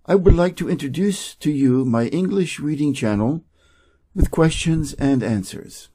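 A man from Wisconsin with an American Midwest accent, an English teacher reading practice dialogues slowly and playing both parts. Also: animated, warm tone, slightly rough. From Wisconsin, USA. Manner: reads both dialogue parts, raising his pitch for speaker A.

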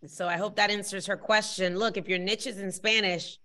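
A woman's voice: medium pitch, fairly even intonation, fairly quick, bright, forceful tone. A woman in her thirties hosting a group video call, enthusiastic and encouraging, dropping in the odd Spanish word. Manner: enthusiastic, peppers speech with Spanish words. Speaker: host. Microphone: video call webcam.

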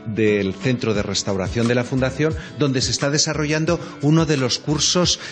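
A man giving explanatory interview answers as a foundation representative, with measured, emphatic phrasing. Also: medium pitch, fairly even intonation, faint music behind.

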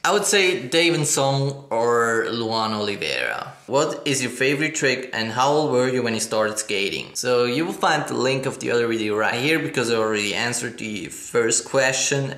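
Upbeat young man, bright echoey voice. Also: medium pitch. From Switzerland. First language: German. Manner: upbeat, chatty, reads questions aloud. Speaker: skateboarding vlogger. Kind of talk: casual Q&A vlog. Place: living room, on a sofa, indoors. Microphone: fixed camera across the room. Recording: echoey room.

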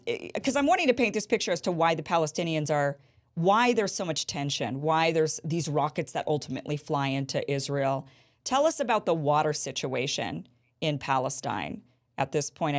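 A woman in her thirties hosting a political interview show, telling stories with animated gestures in a small studio. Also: deep voice. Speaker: host. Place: small studio with a bright backdrop. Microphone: studio mic on a boom.

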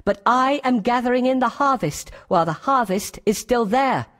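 A woman's voice: fairly high pitch, expressive, moderate pace, fairly bright tone, no echo, clean studio sound. A woman voicing a little insect in a children's fable, speaking theatrically. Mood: scolding.

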